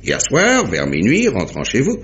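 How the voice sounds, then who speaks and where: An actor playing a genial, methodical police inspector, recapping deliberately in a theatrical mid-century French radio mystery manner. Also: male voice, mid-range voice, wildly swooping pitch, a bit bright. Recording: dull vintage radio drama recording.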